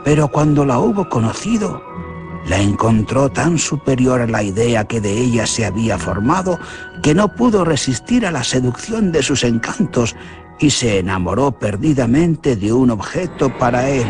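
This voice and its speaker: Expressive Spanish-accented male radio narrator. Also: medium pitch, normal speed. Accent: Spain. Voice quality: expressive, theatrical. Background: music.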